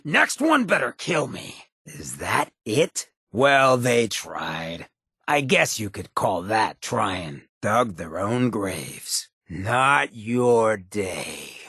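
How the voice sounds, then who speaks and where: A roguish ghoul leader from a video game, with a very husky, gravel-rough low voice and an American accent. He swaggers through clipped, slangy lines in a cocky drawl that swings freely in pitch. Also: very animated, extremely slow, pushed and bright, no echo, studio quality, heavily acted. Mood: hurt, defiant, then dry, cocky. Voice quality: raspy, gravelly. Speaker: companion character in a video game.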